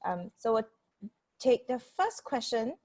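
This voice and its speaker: A woman's voice: a little high, very animated, slow pace, darkish tone. A woman hosting an online book launch, upbeat and using some fillers as she moves to the questions. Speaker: event host. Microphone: video call.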